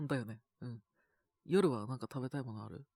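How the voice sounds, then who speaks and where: A man with a sore, scratchy throat and a cough, chatting casually and intimately in soft, hesitant murmurs as if to someone beside him. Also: medium pitch, normal speed, dry recording, clean studio sound. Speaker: voice actor making situation-voice videos. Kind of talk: intimate casual chat to an unheard partner.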